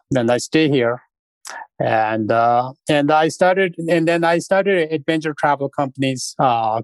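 Casting middle-aged man from Nepal, halting and unhurried. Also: mid-range voice, darkish tone, no room sound. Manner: unhurried, halting, with many fillers. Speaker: guest, restaurant founder. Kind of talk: casual interview storytelling.

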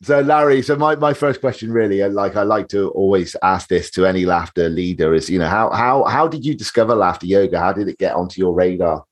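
A man hosting a podcast interview in a chatty, upbeat way, his voice slightly hoarse from shouting. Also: low voice. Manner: upbeat, chatty, quick to laugh. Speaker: podcast host. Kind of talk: casual interview.

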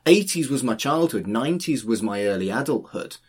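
Guy in his 40s, playful podcast host, voice jumps around a lot, slightly raspy. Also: medium pitch, animated, a little fast. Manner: enthusiastic, playful. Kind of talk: casual interview.

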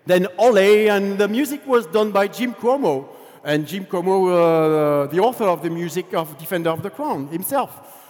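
A man's voice: sits high, moderate pace, in a large hall. A man with a French accent, a game developer giving a chatty conference talk about his career, full of fillers. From France. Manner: relaxed, chatty storytelling with many fillers. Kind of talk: conference presentation.

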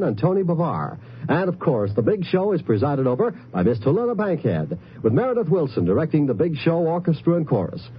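A man's voice: on the high side, muffled recording, slight background noise. A man announcing upcoming programs on old-time radio in a polished, emphatic, scripted delivery. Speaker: network announcer.

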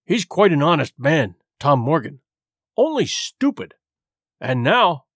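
A man acts out a shrewd, genial one-legged sailor who runs a tavern in an adventure storybook. He blusters heartily in salty seafaring talk, with a raised pitch that swings widely. The sound is dry and close. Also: very animated, a little slow, fairly bright tone, no room sound, heavily acted. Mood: confiding, excited. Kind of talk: audiobook character voice.

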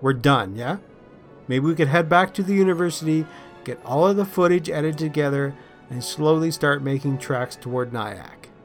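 A man's voice: fairly high pitch, normal speed, soft background music, close to an everyday voice. A man voicing a good-humoured, put-upon young student boyfriend in a storybook reading. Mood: pointed, prodding.

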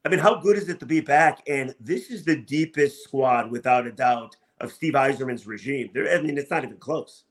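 A man's voice: medium pitch, normal speed, few pauses. A man hosting a sports podcast, talking and asking questions in an enthusiastic, emphatic way. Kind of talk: upbeat sports talk and interviewing.

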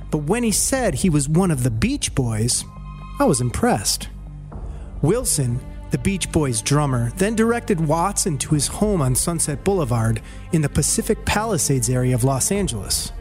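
A man's voice: a little high, darkish tone. A man with an American accent reading scripted documentary narration as a storyteller.

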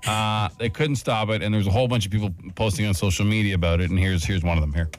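A man's voice: on the low side, steady tone, very fast. A man hosting a radio show in casual, conversational banter.

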